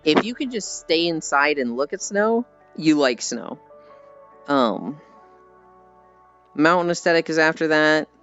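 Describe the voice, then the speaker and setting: A man's voice: fairly high pitch, expressive, a little slow, pauses often, fairly bright tone, clear, resonant tone. An American man livestreaming in a casual, rambling, self-deprecating way, swearing casually as he talks to viewers. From United States. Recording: slightly dull audio with music behind. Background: background music.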